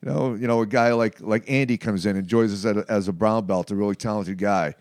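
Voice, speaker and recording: A man in his late fifties speaking conversationally in an interview, in a halting, emphatic way with frequent check-ins. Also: fairly low pitch, steady tone, a little mellow, no echo, studio quality. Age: late 50s. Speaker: martial arts practitioner being interviewed.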